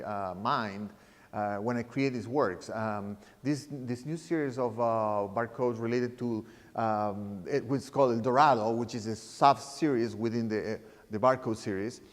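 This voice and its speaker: A man talks about his art in a halting, searching way, often stopping to restart a phrase. His voice sits in a middle register. The recording carries a long, lingering reverb. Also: medium pitch. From Chile. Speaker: artist. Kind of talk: reflective interview talk. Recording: reverberant room sound.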